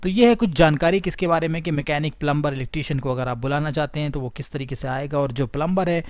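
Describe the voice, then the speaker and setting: A man's voice: on the high side, fast, no echo. A man hosting his own tech help channel, reading news text aloud and then restating it in simpler words. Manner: reads aloud then rephrases in plain words. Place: voice-over over a screen recording of a document.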